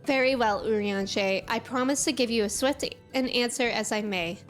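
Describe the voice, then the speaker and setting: A woman voicing a proud fae creature from a game, reading its dialogue in a formal, measured, old-fashioned way. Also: a little high, soft background music, natural voice. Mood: solemn, formal.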